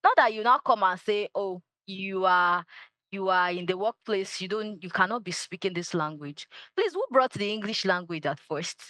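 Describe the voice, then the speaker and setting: A woman, a Yoruba speaker, answers interview questions with fervent, emphatic conviction. She hammers her points by repeating short phrases. Her voice sits at an ordinary pitch for a woman, and it is recorded close and dry with no room echo. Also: moderate pace, sharp tone. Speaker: guest, culture advocate.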